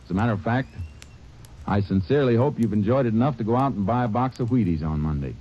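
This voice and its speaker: Man, deep calm earnest appeal, vintage radio sound. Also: quick pace, slight background noise. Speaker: film star giving a radio message. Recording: dull old radio transcription.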